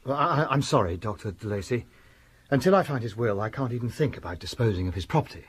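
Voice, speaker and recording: An actor playing an anxious, impatient young gentleman heir in a radio drama, earnest and blunt. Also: medium pitch, animated, fast, slight background noise, natural voice. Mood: shaken.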